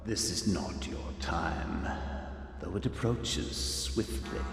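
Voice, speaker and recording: An actor playing a cold, righteous conspirator in a film, speaking with measured, ominous solemnity. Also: male voice, low-pitched, creaky, full character voice. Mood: quietly menacing. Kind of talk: dramatic film acting. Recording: echoey hall reverb, faint music.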